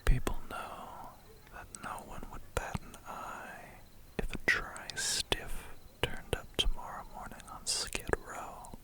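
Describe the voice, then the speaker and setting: A man's voice: slow pace, sharp tone. A man playing a smug, menacing, centuries-old vampire biker in an ASMR roleplay, whispering breathily with a condescending, teasing manner. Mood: smug warning.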